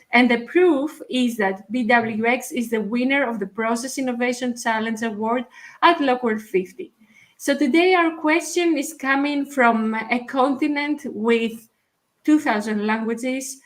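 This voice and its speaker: A woman hosting an online talk show, introducing her guest in a formal, list-like way over a video call. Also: a little high. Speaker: host. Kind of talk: interview introduction.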